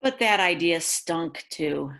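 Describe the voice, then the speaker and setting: A woman reads a picture book aloud to young children in an expressive way, adding chatty asides about the pictures. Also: fairly low pitch, slow. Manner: expressive, exclaims and gasps over the pictures. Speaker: read-aloud storyteller for children.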